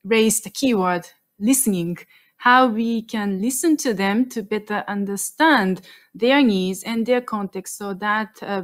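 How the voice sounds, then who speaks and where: A woman moderating a webinar panel, measured as she sums up points and passes questions on. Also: medium pitch. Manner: measured, summarizing and handing over questions.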